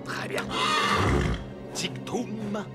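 An actor playing a scheming, menacing old magician in a fairy-tale cartoon, with a mocking, theatrical, drawn-out delivery. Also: male voice, sits high, little pitch movement. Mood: mocking, gloating. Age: older. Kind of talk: French animated fairy-tale cartoon acting. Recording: loud background music.

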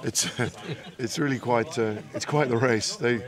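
A man's voice: medium pitch, measured pace, a crowd in the background. A man, a yacht sailor describing a race in an easygoing, rambling way, outdoors at night. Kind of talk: post-race interview.

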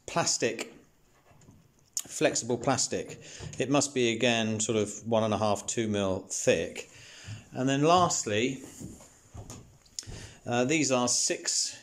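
Man explaining a DIY project. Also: mid-range voice. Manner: practical, explains step by step with fillers. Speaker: DIY renovation vlogger. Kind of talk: casual how-to narration. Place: house under renovation.

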